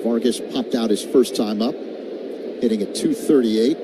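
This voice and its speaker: An American man delivers live radio play-by-play of a baseball game in a mid-range voice. Outdoor ballpark noise is clearly audible around him as he follows each pitch. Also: a little slow. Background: outdoor stadium ambience.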